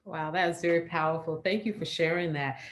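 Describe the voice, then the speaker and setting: A woman's voice: low voice, fairly even intonation, brisk. A woman moderating a panel over a video call, enthusiastic and affirming toward the speakers.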